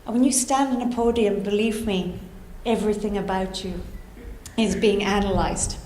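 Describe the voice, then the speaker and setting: A woman's voice: mid-range voice, steady tone, normal speed, a little mellow. A middle-aged woman with an Irish accent telling her personal story to a live audience, hesitant and reflective, with dramatic repetition. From Ireland. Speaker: guest speaker, orchestra conductor and composer. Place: indoor conference room before a live audience. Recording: echoey room with faint background noise.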